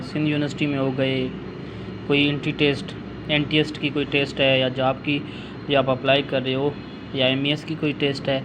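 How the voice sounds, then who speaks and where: A man's voice: on the high side, background noise. A man, patiently explaining an entrance test maths question step by step to his students. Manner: patient, repeats steps and addresses students as dear child. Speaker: maths teacher.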